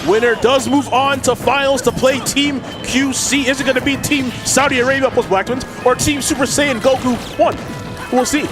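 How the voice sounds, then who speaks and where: A young man from Toronto doing energetic, joking live esports commentary. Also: very high-pitched, normal speed, over music. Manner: energetic, joking, hypes plays.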